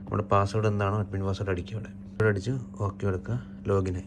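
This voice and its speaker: A Malayali man presents a hands-on tutorial in a fairly low voice. His intonation stays very flat. He sounds matter-of-fact and unscripted as he moves from one step to the next. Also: racing, noisy background. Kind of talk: hands-on technical walkthrough, unscripted.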